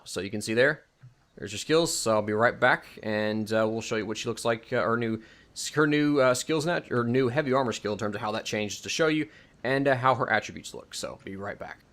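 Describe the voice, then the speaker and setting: A man's voice: medium pitch, a bit bright. A man giving a casual, unscripted video game tutorial, talking informally and rambling as he corrects and second-guesses himself. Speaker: video host and mod creator demonstrating a game mod.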